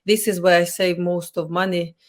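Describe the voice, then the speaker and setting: A woman hosting an interview, speaking English as a second language with halting, searching phrasing. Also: lowish voice, flat delivery. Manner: halting, searching for words, non-native phrasing. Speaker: podcast host. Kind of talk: casual interview chat.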